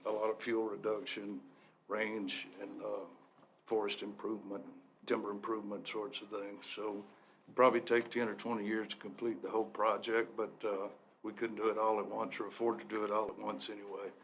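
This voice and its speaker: A man serving as a deputy forest supervisor, briefing a county commission in a steady, explanatory way with frequent "uh" pauses. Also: fairly low pitch, a little hiss or hum. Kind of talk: briefing at a public meeting. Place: county commission meeting room.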